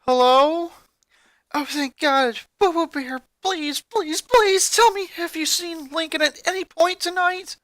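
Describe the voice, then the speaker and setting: A firm, protective teenage girl, the eldest sister in a story, as the narrator puts her on. The voice is bright and raised in pitch, earnest and emphatic. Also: measured pace, no room sound. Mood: desperate.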